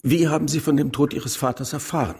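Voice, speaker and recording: An actor playing a suspicious chief inspector in a radio drama, with a dry, insinuating way of questioning. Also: male voice, on the high side, fairly even intonation, fast talker, creaky, a little mellow, husky, heavily acted. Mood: probing.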